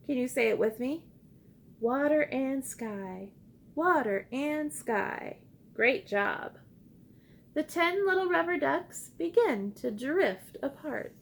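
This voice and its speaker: A woman reading a picture book aloud to young children like a teacher, expressive and encouraging, pausing to ask simple questions and invite repeats. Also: sits high, creaky. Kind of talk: interactive children's read-aloud with call-and-response prompts.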